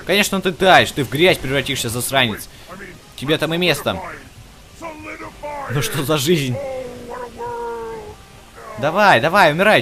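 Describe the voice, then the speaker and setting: A man giving casual, chatty gameplay commentary, thinking aloud and talking to the characters on screen as he plays. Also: a little high. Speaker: video game let's-play host. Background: video game music.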